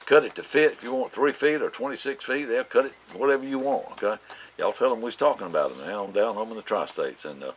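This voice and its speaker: A middle-aged Southern man hosting a local farm show, rambling through announcements with lots of "uh"s, his voice deepened by a cold. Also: quick pace, a little hiss or hum. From Florida Panhandle, US. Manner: drawling, rambling, many "uh"s and restarts.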